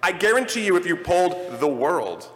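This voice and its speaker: A man on a live convention panel, joking and throwing out quick quips. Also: high-pitched, sing-song, a bit bright. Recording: long hall reverb.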